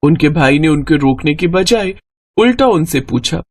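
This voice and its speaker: A woman reading a scripted moral story as an expressive narrator. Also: low voice, normal speed.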